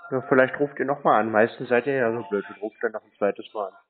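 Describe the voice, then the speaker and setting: A man with a mid-pitched voice chats casually on a phone-like recording. He is deadpan and curt, with a mocking edge to his short answers. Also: quick pace, soft background music. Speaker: scambaiter taking scam calls. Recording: phone-like sound, faint noise, clicks.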